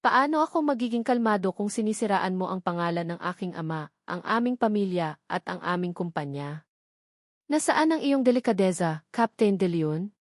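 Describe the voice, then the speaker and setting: Female narrator as a wounded, proud woman attorney, Filipino accent, accusing and indignant, mid-pitched, audio drama. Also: expressive, normal speed, dry recording, studio quality, lightly acted. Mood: angry, indignant.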